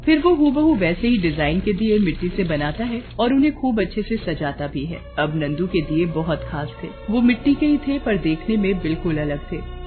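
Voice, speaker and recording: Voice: mid-range voice, narrow, tinny audio. A narrator telling a scripted moral story for a cartoon.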